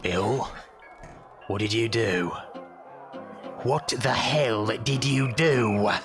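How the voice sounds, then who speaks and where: A man's voice: medium pitch, extremely slow. A man acting a wily old leader of a thieves' gang in an audio drama, sly and drawling. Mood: alarmed. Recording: music behind.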